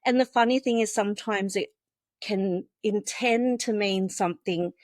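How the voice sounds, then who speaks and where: A bubbly woman, whose was Vietnamese, talks through her work as a guest on a call. Her voice sits in a middle pitch and is recorded close and dry, with no room sound. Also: normal speed, darkish tone, no echo. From Australia. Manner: bubbly, laughs easily, explains things step by step. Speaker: guest, compliance consultant. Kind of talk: casual interview chat.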